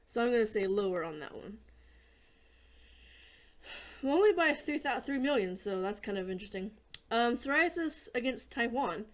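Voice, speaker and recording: A hoarse woman hosting a casual gaming video, chatting in a rambling way and often clearing her throat. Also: on the high side, expressive, normal speed, pauses often. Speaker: gaming channel host.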